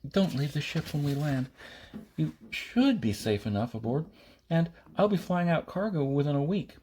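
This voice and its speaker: A man's voice: on the high side, very animated, normal speed, dark tone, slightly rough, voice pushed up. A man voicing a guarded, strong-willed young spacewoman in an audiobook, speaking earnestly and tersely. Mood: grave, urgent.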